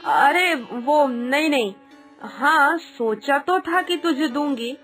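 A woman's voice: high voice, expressive, takes it slowly, fairly bright tone, soft background music, full character voice. A woman voicing a bossy, dismissive older mistress of the house in a cartoon story, brusque and scolding. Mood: excusing, dismissive. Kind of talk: Hindi cartoon story dubbing.

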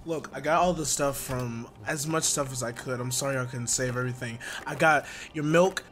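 A man's voice: mid-range voice, normal speed, noisy background, natural voice. A man improvising a comedic game fandub as an earnest teenage boy, urgent, slangy and prone to repeating himself. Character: earnest, excitable teenage boy, loyal sidekick.

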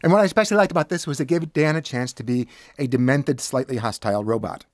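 A man's voice: medium pitch, quick pace, no room sound. An older man speaking casually in an interview as an audiobook director, recorded in a small studio.

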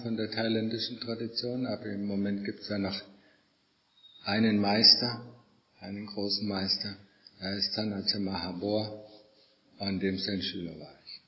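A middle-aged man, a Buddhist monk, giving an informal dharma talk in a conversational way, repeating phrases for emphasis. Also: on the low side. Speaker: Buddhist monk and meditation teacher. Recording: echoey hall, long reverb, muffled sound.

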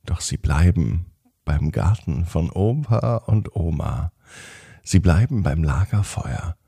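A man hosts a children's podcast, narrating a bedtime story expressively with drawn-out phrases. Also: sits low, animated, vocal fry, mellow, husky, no echo, clean studio sound.

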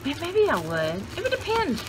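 A woman's voice: sits high, wildly swooping pitch, background noise. An older woman in her sixties chatting casually and thinking aloud as she hosts a home show-and-tell video, recorded on a camera in a small room. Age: older, about 60s. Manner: unhurried, conversational, thinking aloud. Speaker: vintage haul video host. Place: small indoor room with cluttered shelves.

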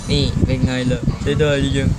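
A man's voice: medium pitch, level tone, fast talker, natural voice. A man voicing rowdy, boastful monster students in an amateur Minecraft cartoon dub, with crude, teasing exclamations. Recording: very noisy home recording.

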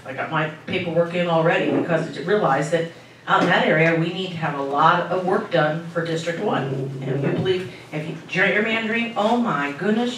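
Older woman organizer, chatty and emphatic, far off in a big echoey hall with room noise. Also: on the low side. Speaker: meeting organizer. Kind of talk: announcements at a community meeting. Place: large meeting hall indoors. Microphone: handheld mic, recorded from far camera.

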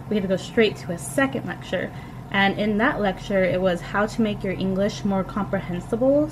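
A woman's voice: mid-range voice, background noise. A young woman in her twenties giving a casual, upbeat vlog update to the camera from a small dorm room. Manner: upbeat, chatty, says "really" often. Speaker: vlogger, new English teacher.

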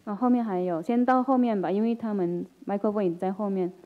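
A woman's voice: medium pitch, narrow, tinny audio. A woman emceeing a temple gathering, calling people up and leading the chanted visualization. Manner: brisk directing prompts, then slow liturgical chanting. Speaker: ceremony emcee.

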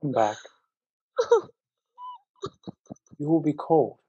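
A man acting an elderly husband in a radio play, gentle, protective and fearful, with a husky voice. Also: on the high side, fairly quick, muffled recording. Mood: tender, pleading. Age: older.

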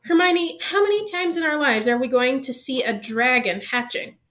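An eager young schoolboy in a storybook, played by a woman reader. He is blunt and excitable, exclaiming in a raised, higher voice. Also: moderate pace, close to an everyday voice. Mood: pleading. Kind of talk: audiobook character reading.

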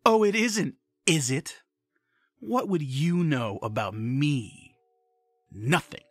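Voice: mid-range voice, lively intonation, takes it slowly, no room sound, clean studio sound. A performer voicing a scheming male villain who has taken over a child's body, speaking in a mocking, theatrical way in a fan audio drama.

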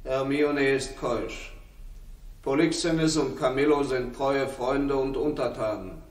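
A man's voice: mid-range voice, slow, lightly acted. Guy playing solemn herald proclaiming an oracle, bit far off, echoey old radio play. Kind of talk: 1960s German radio drama acting. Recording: echoey 1960s radio recording, distant from mic.

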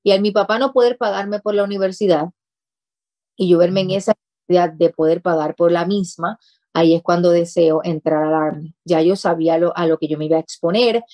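A woman's voice: on the low side, steady tone, fairly quick, warm tone, no room sound. A woman in her thirties with a Puerto Rican accent, an interview guest speaking earnestly on a talk show. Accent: Puerto Rican Spanish. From Puerto Rico. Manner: earnest, speaks with emphasis and repetition. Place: small studio office set.

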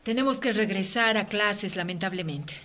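Bright, dismissive woman school principal, acted by a woman. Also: mid-range voice, moderate pace, natural voice. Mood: flustered, evasive. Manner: officious, reluctant. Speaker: radio drama actor. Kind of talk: radio drama acting.

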